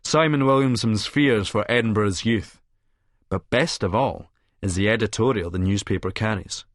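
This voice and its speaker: An actor with a Scottish accent narrating an audiobook as a cynical, vain Edinburgh publican, smug, sardonic and wordy. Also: male voice, lowish voice, moderate pace, no room sound, clean studio sound, natural voice. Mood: mock solemn. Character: cynical, vain male Edinburgh publican and schemer, smugly self-satisfied. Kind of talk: first-person audiobook narration in character.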